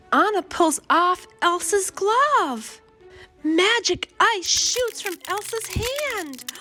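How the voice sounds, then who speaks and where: A woman reading a simple children's storybook aloud as a storyteller, in short, deliberate, expressive phrases. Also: high voice. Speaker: children's read-along storyteller. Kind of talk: simple scripted storybook narration for young children.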